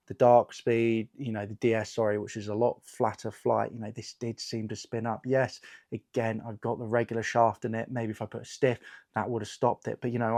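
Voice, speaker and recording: A man with a croaky voice from a cold, hosting a casual golf equipment review, chatty and reading out figures with lots of fillers. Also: mid-range voice, darkish tone. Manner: chatty, lots of "you know" and "um", reads out numbers. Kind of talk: casual product review talk.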